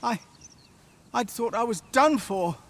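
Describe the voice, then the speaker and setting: High-voiced, earnest young villager. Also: male voice, animated, slow pace, slight background noise, heavily acted. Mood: shaken, grateful. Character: young village herb gatherer, grateful, dutiful and eager. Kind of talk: scripted fantasy game dialogue.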